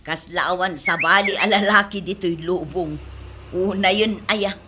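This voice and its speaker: A woman's voice: on the low side, measured pace, bright, forceful tone, slight background noise. A woman with an Ilocano accent playing a gossipy, mocking village mother in a radio comedy drama.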